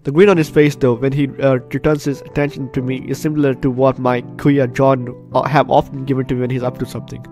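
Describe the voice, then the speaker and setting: A man's voice: medium pitch, fast talker, hardly any pauses. Male gamer narrating over background music. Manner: expressive, interjecting reactions. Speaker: gaming video host. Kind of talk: gameplay commentary and reading game text aloud.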